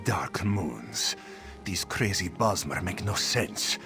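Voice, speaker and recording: A put-upon cat-folk soldier for a fantasy video game. His lowish voice is full of gravel and swings animatedly as he grumbles in exasperation. Music runs faintly underneath. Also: very animated, slow pace, heavily acted. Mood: exasperated, whining. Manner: complaining, exasperated, third-person self-reference. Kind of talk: video game character dialogue.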